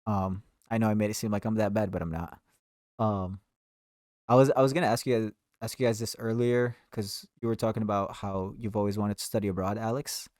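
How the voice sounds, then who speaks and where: Young man, lowish voice, co-host interviewing friends, easygoing, laughs along. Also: steady tone, brisk, darkish tone, no room sound, clean studio sound. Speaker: podcast co-host asking questions. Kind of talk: casual chat.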